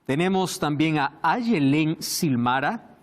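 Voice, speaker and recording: A man hosting a Bible study program, speaking in a measured, emphatic way. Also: fairly high pitch, animated. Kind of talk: studio presentation.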